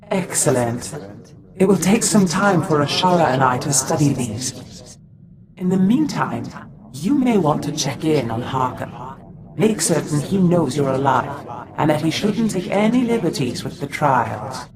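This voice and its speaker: An actor playing an earnest, dutiful scholarly Sith aide in a game, measured and formal. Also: male voice, on the high side, sing-song, crowd noise. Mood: earnest, advising.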